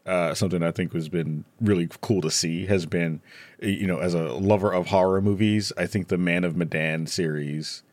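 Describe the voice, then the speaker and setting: Man, low voice, podcast guest, casual meandering talk, dry recording. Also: fairly even intonation, normal speed, a little mellow, no room sound. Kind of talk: casual conversational discussion.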